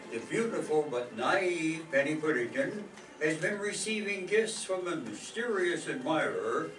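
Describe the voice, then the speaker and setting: An actor playing a pompous, melodramatic announcer in a parody of old radio soap operas. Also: male voice, sits high, fairly bright tone. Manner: melodramatic, grand. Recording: distant echoey stage, faint noise.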